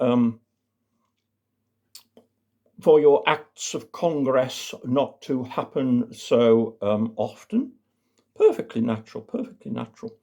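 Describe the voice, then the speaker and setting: A man voicing a posh English family doctor in a comic story, speaking formally and haltingly in careful euphemisms. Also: mid-range voice, dark tone, voice pushed up.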